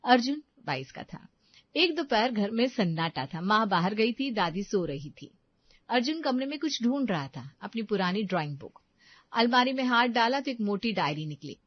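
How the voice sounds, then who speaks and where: A woman narrating a moral story dramatically, in deliberate short phrases. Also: a little high, very animated, no echo, highs cut off.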